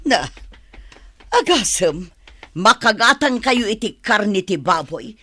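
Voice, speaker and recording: Sharp, nagging older landlady, swooping voice. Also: mid-range voice, lively intonation, takes it slowly. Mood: stern, demanding. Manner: nagging, scolding. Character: stern, fed-up older landlady demanding overdue rent. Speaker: radio drama actress. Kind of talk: radio drama acting.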